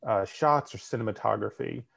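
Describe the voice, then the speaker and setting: A man in casual conversation, describing film shots and camera angles in relaxed detail. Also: on the low side, normal speed, creaky, slightly rough. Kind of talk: casual movie discussion.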